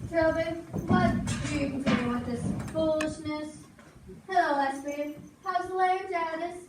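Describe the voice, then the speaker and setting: A woman's voice: sits high, fairly even intonation, a little slow. A young woman playing a superstitious, meddling fortune teller in an amateur Western stage play. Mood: ominous, chiding. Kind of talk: projected amateur stage acting. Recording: echoey room from far off, noisy.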